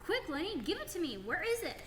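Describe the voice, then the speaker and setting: A woman's voice: very high-pitched, sing-song, fast talker, a bit bright, exaggerated voice. An actress playing an earnest, bossy big-sister schoolgirl, questioning and emphatic, in a radio play. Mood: urgent. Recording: echoey hall, faint background noise.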